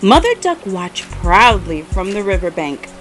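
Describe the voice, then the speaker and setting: A woman narrating a children's bedtime story expressively, with dramatic pauses and repeated words for emphasis. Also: mid-range voice, sing-song, fairly bright tone. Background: instrumental music.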